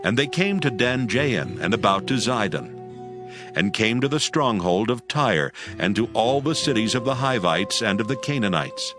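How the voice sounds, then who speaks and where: Low, animated male scripture narrator. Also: moderate pace. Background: music.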